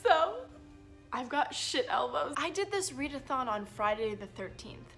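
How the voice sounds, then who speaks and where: A young woman vlogging casually, in an animated, self-deprecating way with some swearing. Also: high voice, measured pace, creaky, soft background music. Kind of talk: casual chatty reading vlog.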